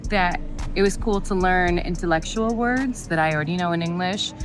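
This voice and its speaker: Low-voiced woman, chatty vlogger. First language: Arabic. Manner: chatty, enthusiastic, rambling. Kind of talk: casual vlog chat. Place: airport before a flight. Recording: background music clearly heard.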